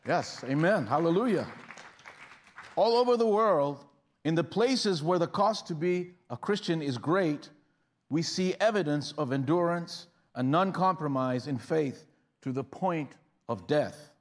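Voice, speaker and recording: A man's voice: a little high, lively intonation, a little slow. A man preaching a sermon in a conversational style, often restarting phrases and rising in bursts of emphasis. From Uganda. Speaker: guest preacher.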